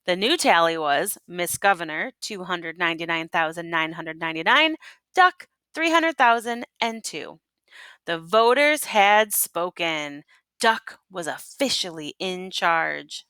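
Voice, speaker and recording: A woman reading a children's picture book aloud in an expressive, teacherly way, pausing to prompt listeners with questions. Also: on the low side, clear, resonant tone, dry recording. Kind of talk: expressive read-aloud storytelling with asides and questions.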